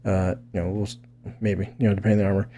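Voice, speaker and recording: A man's voice: lowish voice, little pitch movement, very fast, dark tone, slight background noise. A man giving a casual fighting game tutorial, thinking aloud in a rambling way and often checking in with "right?". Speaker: gaming video creator explaining techniques.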